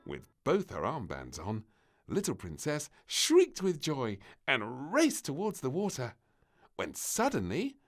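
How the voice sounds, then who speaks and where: A man reading a scripted children's story as narrator, with an expressive, dramatic delivery. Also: medium pitch, slow pace. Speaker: storybook reader.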